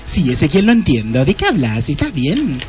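A woman's voice: low-pitched, huge pitch swings, moderate pace, a little mellow, faint music behind. An actor playing a chatty, cheeky female police assistant in a radio play, with an Argentine accent and a casual, teasing manner. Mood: cheeky, curious. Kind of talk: Spanish-language radio play acting.

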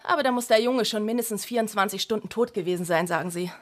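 A brisk physiotherapist in a radio play, acted by a woman. Her voice sits at a middle pitch, and she talks like an eager gossip passing on the news. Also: natural voice. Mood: eager, shocked. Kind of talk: radio drama acting.

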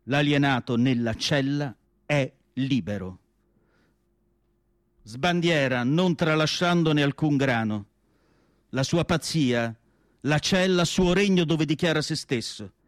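A man reciting literary prose as a radio theatre monologue, solemn and declamatory, with deliberate phrasing and dramatic pauses. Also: a little high, expressive, no room sound, clean studio sound.

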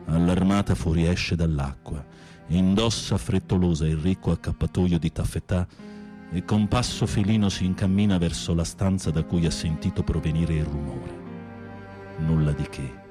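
A man playing a campy, low-voiced theatrical inmate. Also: natural voice. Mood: florid, savoring. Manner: florid, campy, teasing storytelling. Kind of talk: radio play acting.

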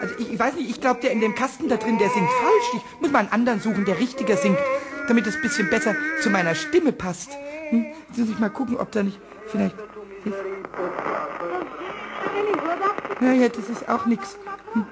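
A man voicing a small mischievous, childlike kobold with a high, squawky voice, chattering excitably in a children's radio play. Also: fast, loud music behind the voice. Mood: picky, musing. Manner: chattering to himself, giggly, singsong.

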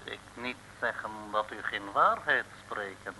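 Give this sly, boastful villain a very sharp, forceful voice and a slow delivery. He talks in pompous, mock-humble broken grammar, referring to himself in the third person. It is an old radio serial with faint noise. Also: on the low side, expressive, exaggerated voice. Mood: wary. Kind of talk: 1950s-60s radio serial acting. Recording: noisy old radio broadcast recording.

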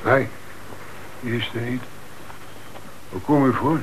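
A man's voice: mid-range voice, slow, lightly acted. An actor in a Dutch radio play playing a middle-aged father, polite and resigned, giving short, weary answers. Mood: polite, wary. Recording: noisy old radio play recording.

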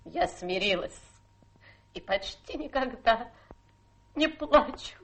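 Self-pitying adventuress, radio drama. Very high, unhurried delivery, plaintive, flirtatious, stagey tragic airs. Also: female voice, expressive, fairly bright tone, full character voice, rough recording. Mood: wistful, resigned. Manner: melodramatic, plaintive, coquettish. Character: theatrical, self-pitying adventuress feigning a tragic fallen lady. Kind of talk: theatrical radio play acting.

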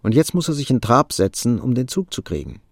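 A man narrating a literary radio play from a script, with a gravelly voice. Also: mid-range voice, sing-song, a little fast, no room sound, clean studio sound.

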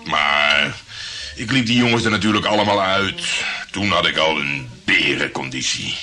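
An actor playing a tough, boastful private detective in a Dutch radio play, swaggering and swearing in colloquial speech. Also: male voice, sits low, moderate pace, bright, forceful tone, soft background music.

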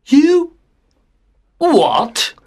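A man playing a half-joking, easily amazed father in an audio story, with an animated manner. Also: mid-range voice, unhurried, lightly acted. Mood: shocked. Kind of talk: acted dialogue in an audio story.

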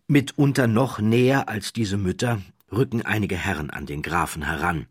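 A man reading a literary audiobook as a scripted narrator, with measured, deliberate phrasing and dramatic pauses. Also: deep voice, breathy edge, no room sound, studio quality.

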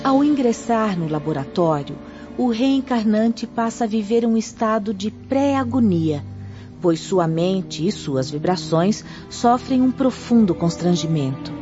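An actress playing a serene, grave female spirit instructor in a radio drama, explaining in a measured, solemn way. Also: mid-range voice, lively intonation, natural voice. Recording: dull lo-fi radio drama, music behind.

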